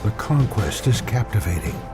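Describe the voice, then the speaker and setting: Male commercial narrator, fairly deep, measured and dramatic scripted read over a prominent music bed. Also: big pitch swings. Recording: loud music bed behind voice.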